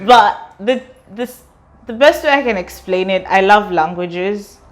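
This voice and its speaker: A woman's voice: medium pitch, measured pace. A young woman from Kenya with a British-sounding accent, chatting casually in an interview and laughing often as she talks. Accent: British-sounding English. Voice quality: laughs often while talking, chatty and expressive. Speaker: interviewee, student and artist.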